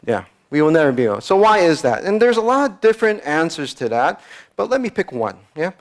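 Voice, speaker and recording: Higher-voiced man giving a talk. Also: sing-song, brisk. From United States. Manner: conversational, repeats words, acts out quoted voices. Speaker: startup founder giving a talk. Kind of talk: conference talk with slides.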